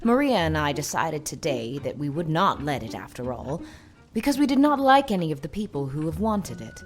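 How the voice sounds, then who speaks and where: A woman's voice: low voice, sing-song, normal speed, soft background music, voice pushed down. A woman voicing a grim, blunt old lady of seventy in an audiobook, with stern, emphatic, old-fashioned pronouncements. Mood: decisive. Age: older. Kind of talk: audiobook character voice.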